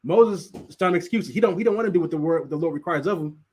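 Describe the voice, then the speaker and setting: A man's voice: on the high side, racing, a little mellow, slightly rough. A man who stutters and repeats words, casually teaching a Bible class and laughing often. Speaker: teacher leading a Sabbath class.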